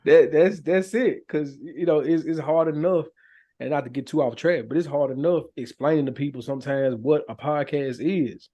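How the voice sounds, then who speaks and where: A man's voice: a little high, normal speed, dark tone. A man who podcasts, talking casually and conversationally in everyday slang. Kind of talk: casual chat. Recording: dull, lo-fi audio.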